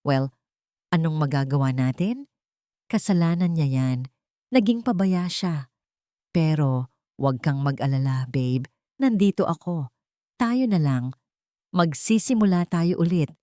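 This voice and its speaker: A woman's voice: sits low, expressive, a little slow, long pauses, dark tone, no echo, clean studio sound. A woman voicing a scheming girlfriend who coos with false care and casual dismissiveness in a dramatic love story. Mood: smug, soothing. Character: sweet-talking, scheming girlfriend, falsely caring.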